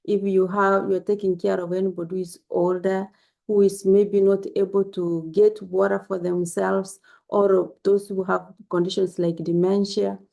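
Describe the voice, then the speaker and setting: Woman, very gravelly, mellow, earnest and instructive, casual health vlog. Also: mid-range voice, little pitch movement, moderate pace. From Kenya. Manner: earnest, repetitive, gently instructive. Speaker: health vlogger. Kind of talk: casual spoken health advice to camera.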